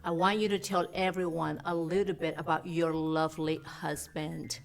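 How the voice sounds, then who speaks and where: A woman from Mexico hosts a TV interview in a studio, her voice sitting on the low side. She speaks in an effusive, gushing way, heaping praise on her guest. Faint music runs quietly behind her. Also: flat delivery, normal speed, creaky. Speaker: TV host.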